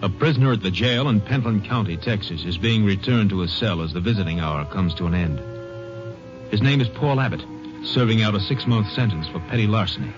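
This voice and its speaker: A man's voice: on the low side, expressive, fairly quick. A man narrating a 1950s radio crime drama in a dramatic, scripted style. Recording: muffled vintage radio recording. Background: music.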